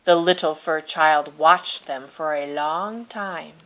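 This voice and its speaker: A woman reading a children's picture book aloud in a gentle, expressive storytelling manner. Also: deep voice, takes it slowly, low-quality recording. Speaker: storybook reader.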